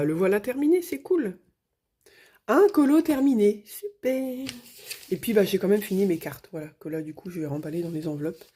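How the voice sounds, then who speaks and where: Brief: hoarse middle-aged woman vlogger, mellow tone, meandering chatty delivery from a home desk. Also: on the low side. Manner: rambling, full of fillers and restarts. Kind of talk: casual chat.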